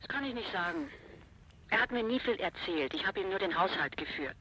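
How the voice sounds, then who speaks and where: Wanted: radio-play sister, forceful husky tone, clipped answers, guarded and defensive. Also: female voice, mid-range voice, animated, fairly quick, poor audio. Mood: guarded, bitter. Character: dead man's curt, guarded housekeeping sister. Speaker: actor in an audio drama. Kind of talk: German crime radio play acting.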